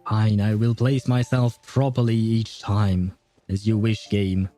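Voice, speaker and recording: A man's voice: fairly low pitch, little pitch movement, a little slow, dark tone, soft background music. A man livestreaming a video game, chatting to viewers in an informal, wry way. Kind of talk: casual live gaming commentary, chatting with viewers.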